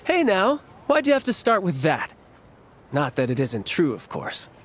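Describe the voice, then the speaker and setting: Breezy young big brother, fairly high-voiced. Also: male voice, huge pitch swings, a little slow, a little hiss or hum, exaggerated voice. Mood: teasing. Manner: breezy, familiar. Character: cheerful, teasing young man, apologetic big brother. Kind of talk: animated game cutscene acting.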